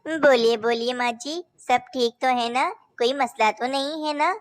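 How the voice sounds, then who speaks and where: A woman's voice: high-pitched, unhurried, faint music behind. A woman voicing young female cartoon birds, caring and a little bossy, in a childlike, declamatory way. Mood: concerned. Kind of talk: Hindi animated children's story dialogue.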